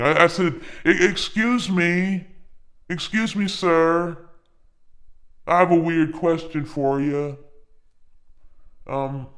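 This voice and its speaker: A man reading a first-person ghost story in a theatrical, conversational way with halting phrasing. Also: a little high. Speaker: narrator of a story channel.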